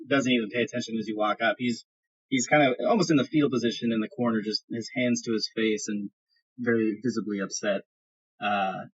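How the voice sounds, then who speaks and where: A man's voice: lowish voice, fairly bright tone, breathy edge. A man working as game master, narrating a tabletop roleplaying game in an improvised, conversational way. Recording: dull lo-fi sound.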